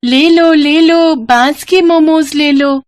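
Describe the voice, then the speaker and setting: A woman voicing a poor young girl in a cartoon story, high and childlike, often pleading and sobbing. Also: fairly even intonation, slow pace. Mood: eager.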